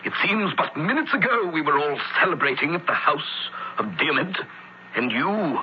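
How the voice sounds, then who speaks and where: Actor as a stern Roman judge, swooping intonation. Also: male voice, a little high, lively intonation, lightly acted. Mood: stern, accusing. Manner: deliberate, formal interrogation. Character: stern, formal Roman senator acting as judge. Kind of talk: old-time radio drama acting. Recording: muffled, hissy old radio recording.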